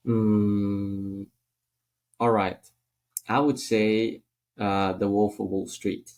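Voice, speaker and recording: A man's voice: on the low side, steady tone, extremely slow, darkish tone, slight background noise. A man from Italy with an Italian accent, a guest in a casual podcast interview, speaking thoughtfully with many fillers.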